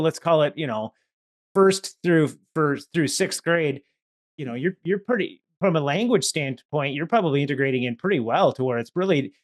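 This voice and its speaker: Higher-voiced male podcast host. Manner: friendly, affirming, rambling questions. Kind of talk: casual interview conversation.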